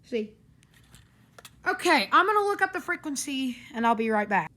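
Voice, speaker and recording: A woman speaks in a somewhat high-pitched voice. She gives an informal, hands-on demonstration from her living room. Her delivery is casual and rambles as she goes. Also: big pitch swings, normal speed, sharp tone. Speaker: gadget reviewer. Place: carpeted living room indoors. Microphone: handheld camera or phone, speaker off screen.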